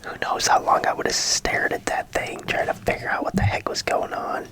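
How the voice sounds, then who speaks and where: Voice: normal speed, fairly bright tone. A host whispering breathily in a casual, rambling ASMR style, playfully muttering and repeating phrases while putting something together. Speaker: host of a build-along video.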